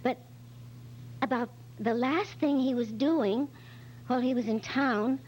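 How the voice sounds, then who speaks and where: A woman acting as a nervous female secretary in a radio mystery. She is hesitant and flustered, in a fairly high voice, on a dull recording with faint hum. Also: unhurried. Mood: hesitant, explaining. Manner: hesitant, stammering, anxious. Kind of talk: amateur recreation of old-time radio detective drama.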